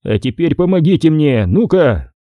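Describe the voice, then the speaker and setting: Man putting on a high-pitched, mockingly courteous villainous major. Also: big pitch swings, moderate pace, no echo, dull audio. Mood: scornful, condescending. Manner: mannered, mockingly courteous speech. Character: depraved, sardonic British major, haughty and polite villain.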